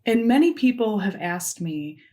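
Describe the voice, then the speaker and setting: A woman voicing a signed conference keynote into spoken English, delivering it in short, measured phrases with pauses. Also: medium pitch, big pitch swings, mellow. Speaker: sign language interpreter voicing a keynote. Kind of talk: simultaneous interpretation of a conference talk.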